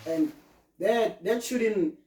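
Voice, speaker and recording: A South African man of about twenty-nine tells his story in a loose, relaxed interview manner, mixing local words into his English. His voice is mellow and sits fairly high. The recording is roomy and echoey, with the mic some way off. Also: drawn-out words. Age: 20s. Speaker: guest, brand founder. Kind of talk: casual interview conversation. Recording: roomy, from a distance.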